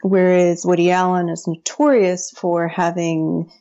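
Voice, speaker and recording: A woman's voice: lowish voice, flat delivery, unhurried, mellow, dull audio. A woman in her thirties from the United States, a guest on a podcast interview, chatty and often laughing.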